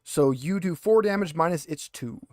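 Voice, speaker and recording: A man's voice: on the high side, lively intonation, moderate pace, darkish tone, no echo, studio quality. A man acting as game master, chatting casually and explaining the rules step by step. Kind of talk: casual tabletop game chat.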